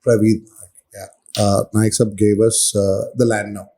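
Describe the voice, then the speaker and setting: A man's voice: on the low side, flat delivery, a little slow, warm tone. A man, asking questions in a chatty, easygoing way as an interviewer. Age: 40s. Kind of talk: casual interview.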